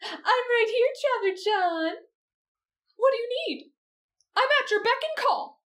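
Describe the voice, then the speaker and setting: A woman voicing an excitable, lovestruck teenage boy swordsman in an anime roleplay, whining and dramatic. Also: extremely high pitch, a little slow, voice pushed up, exaggerated voice. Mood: eager. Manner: dramatic, whining, shrieking outbursts.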